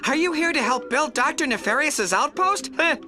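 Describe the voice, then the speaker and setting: Giddy alien laborer, high voice, lively swoops, naive, chatty, cartoon game, music behind. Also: female voice, moderate pace. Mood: adoring, dopey. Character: giddy, brainwashed alien worker devoted to villain. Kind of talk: animated game cutscene acting.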